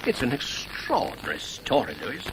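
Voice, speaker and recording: An actor playing a mild, reasonable, sympathetic British husband and old friend in a 1970s radio drama. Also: male voice, medium pitch, wildly swooping pitch, moderate pace. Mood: sympathetic, explaining. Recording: faint hiss on old radio broadcast.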